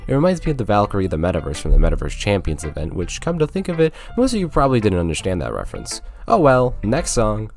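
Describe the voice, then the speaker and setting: Young male video essayist, deep register with expressive swings, informal and chatty scripted narration. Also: fast, few pauses. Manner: informal, conversational, slangy. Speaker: video game reviewer. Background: music.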